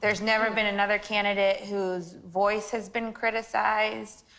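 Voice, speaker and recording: A woman with a shrill voice, a guest on a comedy panel, making wry self-deprecating quips. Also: flat delivery, measured pace, fairly bright tone, low-quality recording. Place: TV studio with audience.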